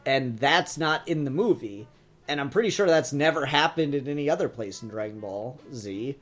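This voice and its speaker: A man's voice: medium pitch, lively intonation, normal speed, faint music behind. A man co-hosting a podcast, chatting casually and enthusiastically as a fan reviewer, with plenty of swearing.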